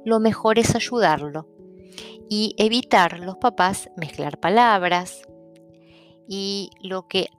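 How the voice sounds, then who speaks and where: A middle-aged woman from Argentina with an Argentine accent, calmly explaining things in a personal talk. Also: medium pitch, slow pace, soft background music. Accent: Argentine Spanish. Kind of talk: personal talk on stuttering and languages.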